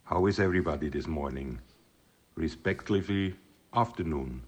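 A man's voice: rumbling low, breathy edge. A man in his fifties from Switzerland with a Swiss accent, giving a friendly greeting and a prayer. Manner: friendly, unhurried. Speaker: guest sending a video greeting.

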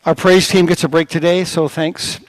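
Middle-aged male pastor, fairly high voice, storytelling sermon, dry close sound. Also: normal speed, no echo, poor audio. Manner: storytelling with lively pitch swings.

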